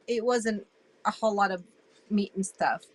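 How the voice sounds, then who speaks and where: A woman in her sixties, originally from Iraq, telling her story as an interview guest in an animated, emphatic way. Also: medium pitch, moderate pace. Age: 60s. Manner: animated and emphatic, with frequent restarts.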